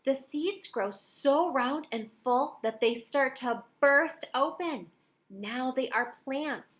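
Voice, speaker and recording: Female storybook reader, middle-aged, slightly high pitch, expressive delivery for young listeners. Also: slow pace. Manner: expressive, with dramatic emphasis. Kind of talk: children's picture book read-aloud.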